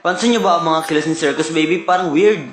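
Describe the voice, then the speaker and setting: A woman's voice: sits low, fast talker, roomy sound, faint music behind, close to an everyday voice. A woman voicing a put-upon, jealous male animatronic bear in a cartoon fan dub, earnest and complaining. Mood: suspicious.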